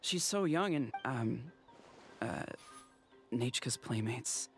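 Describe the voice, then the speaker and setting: A man voicing a flustered, grumbling delivery worker, excitable and rambling, in a video game. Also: mid-range voice, takes it slowly, gravelly, faint music behind. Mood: puzzled. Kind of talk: video game dialogue acting.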